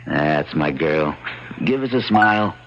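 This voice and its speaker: A man's voice: extremely low pitch, lively intonation, a little slow, a bit bright, a little hiss or hum, full character voice. An actor playing a rational, dismissive but reassuring husband and father in an old-time radio drama. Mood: coaxing. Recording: dull lo-fi radio recording, faint music.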